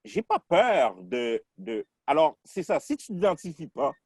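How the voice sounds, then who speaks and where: Husky guy from quebec on a podcast, thinks out loud, keeps restarting, voice jumps around a lot. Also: a little high, big pitch swings, dry recording, clean studio sound. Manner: reflective, halting, many restarts. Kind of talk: casual discussion.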